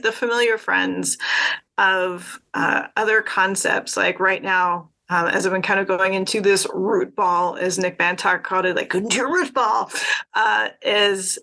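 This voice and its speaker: Bright, gravelly-voiced woman guest. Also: medium pitch, a little slow. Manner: thoughtful, meandering, many fillers. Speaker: podcast guest, artist and author. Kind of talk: casual conversation.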